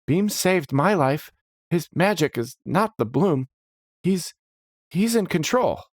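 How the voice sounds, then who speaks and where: Man voicing a nervous, high-pitched young adventurer. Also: animated, slow, no echo, clean studio sound. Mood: urgent, pleading. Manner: stammering, hesitant.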